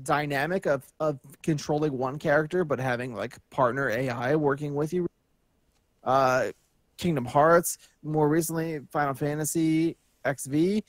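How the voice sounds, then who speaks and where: Higher-voiced man, blunt and informal. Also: unhurried, no room sound. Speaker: show co-host. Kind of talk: casual video game panel chat.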